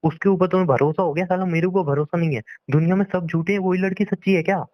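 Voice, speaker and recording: A man's voice: high-pitched, fast, dry recording, muffled recording. A young man arguing in a private phone conversation, insistent and pleading, often repeating himself. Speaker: boyfriend on a phone call.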